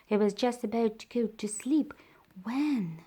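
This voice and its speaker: A woman reading a children's story aloud as storyteller, with deliberate, dramatised phrasing. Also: a little high, mellow, a little hiss or hum.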